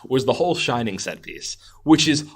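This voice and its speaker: A man's voice: medium pitch, lively intonation, normal speed. A man hosting a podcast, chatting casually about film in an enthusiastic, rambling way with some swearing. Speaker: podcast host. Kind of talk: casual film review chat.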